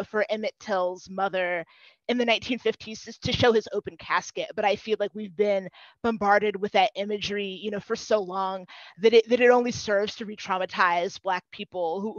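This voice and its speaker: A young woman gives thoughtful, measured answers on a panel, pausing often. Her mid-pitched voice comes over a headset on a video call, dry and a little dull. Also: dry recording. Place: living room at home, video call.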